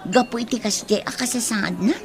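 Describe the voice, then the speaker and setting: An actress playing a chatty, outspoken village friend in an Ilocano radio comedy drama, exclamatory and incredulous, with an Ilocano accent. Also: female voice, on the high side, a little fast, natural voice.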